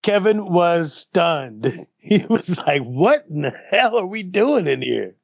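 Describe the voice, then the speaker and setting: Gravelly guy playing a tabletop game, slow, dry and grumbly, bit muffled. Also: high-pitched, big pitch swings, dry recording. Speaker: tabletop roleplay player. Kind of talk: casual tabletop roleplay.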